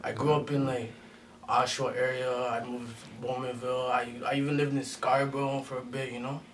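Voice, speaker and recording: Laid-back young rapper, slangy drawl. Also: male voice, medium pitch, flat delivery, a little fast, recorded from a distance, noisy background. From Detroit, raised in Durham Region, Ontario. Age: young adult. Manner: laid-back street slang, frequent "you know what I'm saying" tags. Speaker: rapper guest. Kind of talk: casual interview chat. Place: small studio room, seated on a couch.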